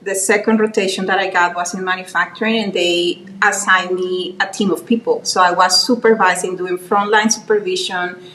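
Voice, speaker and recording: A woman's voice: on the low side, steady tone, normal speed, hardly any pauses. Colombian-accented woman in an echoey room. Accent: Colombian Spanish. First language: Spanish. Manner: warm storytelling with frequent restarts. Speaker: guest, quality executive. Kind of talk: conversational interview. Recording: roomy echo, faint background noise.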